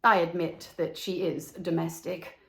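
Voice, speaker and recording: A woman's voice: fairly low pitch, fairly even intonation, a little slow, creaky, fairly bright tone, voice pushed down. A woman voicing a small, self-assured male swallow in a storybook, with a declarative, self-important manner. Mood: complaining. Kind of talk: storybook character voice.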